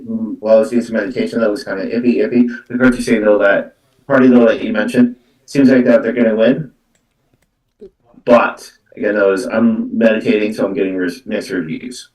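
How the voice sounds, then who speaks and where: A man hosting live card readings as a psychic medium, talking off the cuff in a halting, repetitive way with many filler words like "though" and "actually". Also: lowish voice, darkish tone. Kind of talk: unscripted live-stream talk. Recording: roomy echo, distant from the mic.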